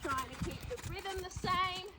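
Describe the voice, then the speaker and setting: A woman's voice: very high-pitched, unhurried, very noisy. A woman with a congested voice, explaining her training to viewers while she rides. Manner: talking to viewers while riding. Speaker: riding instructor. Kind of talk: coaching while riding.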